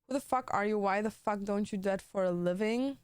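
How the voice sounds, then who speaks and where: Young woman, crisp voice, dry close mic, casual stream chat full of swearing. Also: medium pitch, a little fast, dry recording. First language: German. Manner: swears a lot, says "like" and "bro" constantly.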